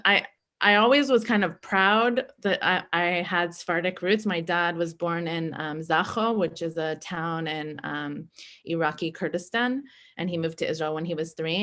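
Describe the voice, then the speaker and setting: A young woman from Oakland with a somewhat low voice, speaking over a video call. She sounds chatty and upbeat, and she fills her talk with likes and ums as she speaks on a panel. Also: fairly even intonation, unhurried, sharp tone. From Oakland, California.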